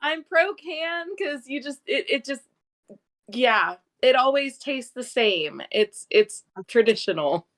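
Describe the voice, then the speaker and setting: Female co-host, high voice, slow and laughing. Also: expressive, a bit bright.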